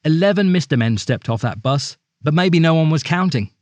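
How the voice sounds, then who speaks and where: A man's voice: fairly high pitch, expressive, normal speed, darkish tone, no room sound, natural voice. A man playing a suspicious storybook character in an audio drama, insistently and dramatically laying out his theory with rhetorical questions. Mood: grudging. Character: suspicious, accident-prone storybook man airing conspiracy theories.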